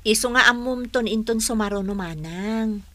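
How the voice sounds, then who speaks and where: Bright, concerned young Ilocano sister, played by an actress. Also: female voice, on the high side, moderate pace, natural voice. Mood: helpful. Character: sympathetic, generous younger sister-in-law, eager to help. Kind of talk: Ilocano radio drama acting.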